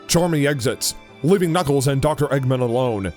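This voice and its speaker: Mid-pitched male audio drama narrator. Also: lively intonation, brisk, a little mellow, soft background music.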